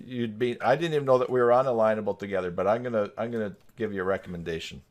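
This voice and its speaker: A man's voice: fairly low pitch, racing. A man hosting a live stream in casual, teasing chat on a video call. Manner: joking, teasing.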